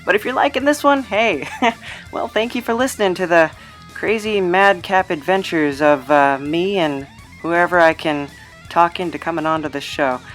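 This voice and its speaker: A woman's voice: lowish voice, moderate pace, soft background music. A woman hosting a podcast, chatting casually and playfully with a mock-earnest manner. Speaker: podcast host. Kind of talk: casual improvised interview and show chat.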